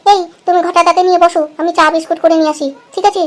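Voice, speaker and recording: A woman's voice: very high voice, steady tone, racing. A woman voicing a nagging, easily annoyed young wife in an amateur comedy cartoon. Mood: apologetic.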